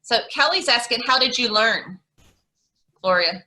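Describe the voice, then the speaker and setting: A woman's voice: a little high, animated, unhurried, bright, forceful tone. A woman hosting an online teacher meetup over a video call, talking in a chatty, rambling way with many fillers and restarts. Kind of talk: casual video call chat.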